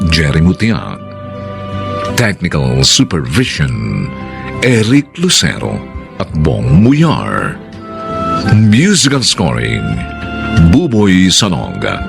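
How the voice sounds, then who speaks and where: Solemn filipino man narrating radio drama, gravelly, huge swings in pitch, music behind. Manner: solemn, measured. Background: loud background music.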